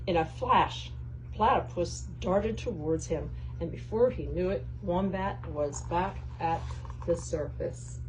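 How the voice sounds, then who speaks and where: Slow-paced woman reading a children's storybook. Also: lowish voice, a little mellow. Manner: addresses young listeners directly. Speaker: read-aloud host. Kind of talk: children's storybook read-aloud. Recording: clearly noisy background.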